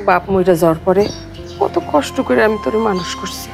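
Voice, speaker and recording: A woman's voice: medium pitch, lively intonation, brisk, gravelly, over music. An actress playing a pious, nagging, aggrieved older mother with a colloquial Bangladeshi Bengali accent in a television drama. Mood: aggrieved, scolding. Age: middle-aged or older. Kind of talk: domestic TV drama acting.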